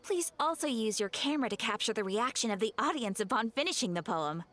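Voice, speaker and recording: High-voiced, polite game receptionist. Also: female voice, animated, fairly bright tone, a little hiss or hum. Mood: politely mischievous. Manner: polite, formal, measured. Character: polite young female guild receptionist, measured, quietly mischievous. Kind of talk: video game voice acting. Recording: music behind the voice.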